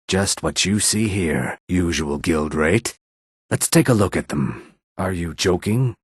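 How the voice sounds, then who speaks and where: Game casting: gruff streetwise reptilian male, very husky and low, wary, measured menace. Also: dry recording, clean studio sound, exaggerated voice. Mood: businesslike, wheedling. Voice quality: raspy, gravelly. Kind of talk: video game character dialogue lines.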